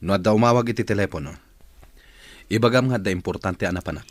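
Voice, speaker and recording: Deep husky male boss played by an actor. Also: no echo, close to an everyday voice. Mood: firm, curt. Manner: firm, deliberate. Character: earnest, insistent male boss, commanding yet courting. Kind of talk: Ilocano radio drama acting.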